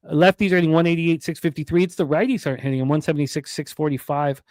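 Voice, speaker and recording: A man hosts a sports betting broadcast, his voice a little high and smooth. He runs through odds and stats in a steady patter with filler words. The sound is dry and close to the mic. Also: fast, no room sound. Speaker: sports betting show host. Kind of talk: sports betting radio talk.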